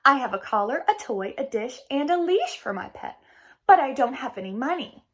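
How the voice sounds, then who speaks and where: A woman's voice: high voice, very animated, normal speed, husky, full character voice. A woman reading the part of an eager, earnest little girl in a storybook who wants a pet.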